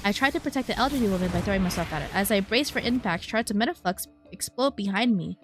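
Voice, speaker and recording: A woman's voice: medium pitch, animated, quick pace, soft background music. A woman streaming a story game, reading its text aloud expressively with casual, wry asides. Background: game soundtrack.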